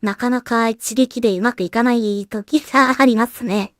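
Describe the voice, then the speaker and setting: A woman's voice: a little high, fairly even intonation, normal speed, no echo, studio quality. A woman thinking aloud in short fragments as she works, casually commenting on her creative process as a solo creator. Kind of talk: casual muttering to self while working.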